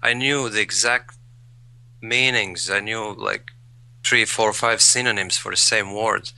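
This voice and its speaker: A man's voice: lowish voice, measured pace, creaky, sharp tone, poor audio. A man, a guest in an interview, speaking thoughtfully and repeating words as he searches for phrasing. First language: Latvian. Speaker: guest, English learner. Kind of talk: conversational interview.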